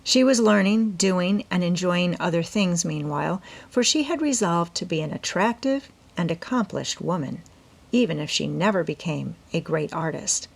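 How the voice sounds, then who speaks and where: Creaky-voiced woman reading a classic novel. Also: lowish voice, expressive, normal speed. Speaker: audiobook narrator. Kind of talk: scripted literary narration.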